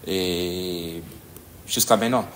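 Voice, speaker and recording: A man, a judo coach answering interview questions in a halting way, often pausing to search for words. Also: low-pitched, a little hiss or hum. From DR Congo.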